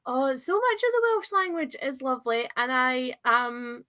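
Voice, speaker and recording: A woman hosting a casual live book chat, talking in an enthusiastic, chatty way with animated reactions. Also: high-pitched, moderate pace. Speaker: host of a book channel livestream.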